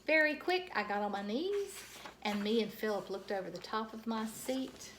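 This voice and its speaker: A woman reading a children's book aloud in an expressive, animated storytelling style with dramatic emphasis. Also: on the high side, normal speed, background noise.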